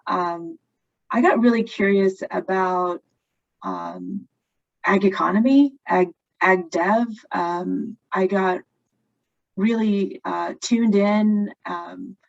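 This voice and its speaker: A woman's voice: medium pitch, lively intonation, slow, creaky. A woman speaking on a webinar panel about plant breeding careers, thoughtful and full of fillers. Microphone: video call. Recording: lo-fi video call audio.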